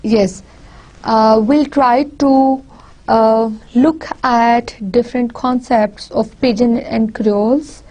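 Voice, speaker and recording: A woman from Pakistan with a Pakistani accent, a native Punjabi speaker, giving a university lecture deliberately, often repeating and restarting phrases. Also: fairly high pitch, fairly even intonation, warm tone. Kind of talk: recorded lecture.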